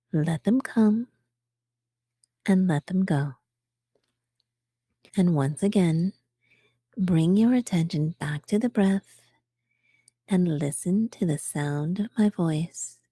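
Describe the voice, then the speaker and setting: A woman narrating a guided meditation for beginners as the guide, speaking calmly and gently, in measured phrases with pauses. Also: on the low side, slightly rough, dry recording, studio quality.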